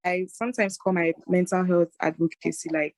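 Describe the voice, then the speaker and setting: A woman in her late twenties from Ghana, a guest speaking earnestly and at a measured pace on a video call. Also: fairly low pitch, fairly even intonation, a little mellow. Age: 20s. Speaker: guest, mental health advocate. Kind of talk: casual interview conversation.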